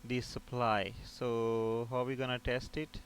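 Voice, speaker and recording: Male tutorial voice, medium pitch, informal step-by-step explaining, self-posed questions, background noise. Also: flat delivery, a little slow. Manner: explanatory, poses and answers own questions. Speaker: repair technician instructor.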